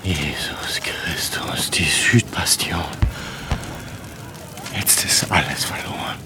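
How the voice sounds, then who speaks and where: An actor playing a dazed, wounded man coming round in despair in a German radio drama, groggy and halting. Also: sits low, music in the background. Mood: hushed despair. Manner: groggy, halting, fading to whispers.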